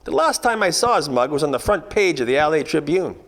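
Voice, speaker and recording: A man's voice: fairly high pitch, very animated, fairly quick, a bit bright. A man playing a wisecracking, cocky 1940s private detective with wry, world-weary slangy delivery in a radio noir parody. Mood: guarded, wry. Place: theatre production shot before green screen. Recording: echoey hall, faint noise.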